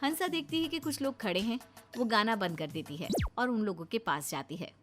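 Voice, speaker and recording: A woman reading scripted narration for a cartoon story, with music clearly behind her. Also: fairly high pitch, lively intonation, a little fast.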